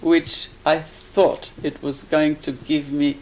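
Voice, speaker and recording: An elderly woman from Poland with a Polish accent, recalling her life in a halting, deliberate way as she is interviewed. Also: deep voice, flat delivery, darkish tone, poor audio. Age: 80s or older. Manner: halting, deliberate, searching for words. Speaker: interviewee, life-story witness. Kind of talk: personal reminiscence in an interview.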